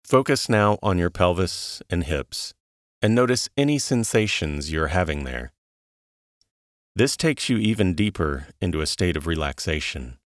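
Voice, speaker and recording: A man narrating a guided relaxation in a calm, soothing, measured way, leaving long pauses between instructions. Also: sits low, no room sound, clean studio sound.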